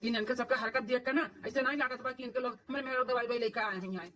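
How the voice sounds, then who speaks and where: A woman's voice: fairly high pitch, fairly even intonation, fast talker, fairly bright tone, recorded from a distance, lightly acted. A woman voicing an aggrieved, protective village son and husband in a cartoon family drama, speaking emphatically with repeated phrases in an Awadhi accent. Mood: indignant. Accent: Awadhi, eastern Uttar Pradesh. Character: aggrieved village son, adult man, protective of his wife.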